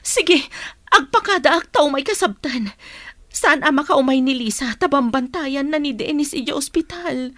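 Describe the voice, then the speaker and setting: Woman playing worried mother, radio drama, fairly high voice, fretful questioning, dry close sound, faint music behind. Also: expressive, normal speed, a bit bright, no echo. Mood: concerned. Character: worried mother, resentful of her sick husband. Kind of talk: radio drama acting in Ilocano.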